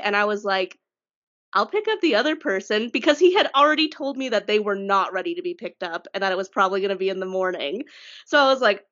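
A woman co-hosting a podcast with a cold, her voice a little congested. She chats and tells stories in a lively, rambling way, with pitch that jumps around. The audio is slightly dull and lo-fi. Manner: chatty storyteller, lively pitch swings. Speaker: podcast co-host. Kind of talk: casual chat.

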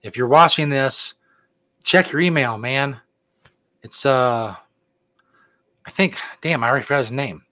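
A man's voice: mid-range voice, measured pace, pauses often, bright, forceful tone, no echo. A middle-aged man co-hosting a casual podcast, laid-back and joking as he rambles through announcements. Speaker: podcast co-host. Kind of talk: casual chat.

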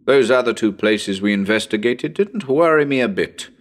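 A man's voice: medium pitch, expressive, moderate pace, lightly acted. A man playing a nervous radio broadcaster on a ghost hunt in a dramatic reading, talking to listeners in a chatty, hesitant, uneasy way. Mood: rattled, gloomy. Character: nervous male radio broadcaster ghost hunting in haunted house.

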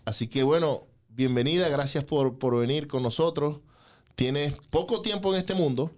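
A man's voice: fairly high pitch, moderate pace, darkish tone. A man in his forties, hosting a podcast and interviewing in a conversational style. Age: 40s. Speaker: podcast host.

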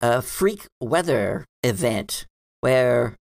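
A man's voice: medium pitch, lively intonation, very slow, gravelly, dry recording, studio quality, heavily acted. A man playing a hesitant, bookish librarian in a tabletop game, rambling and hedging in an earnest, mildly dismissive way. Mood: hesitant, recounting. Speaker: game master. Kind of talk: improvised in-character tabletop roleplay.

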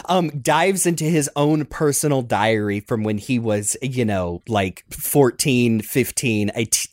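A man's voice: medium pitch, no echo. Man chatting casually on a dry mic. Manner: animated, rambling, self-deprecating. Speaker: podcast host. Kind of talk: casual reminiscing chat.

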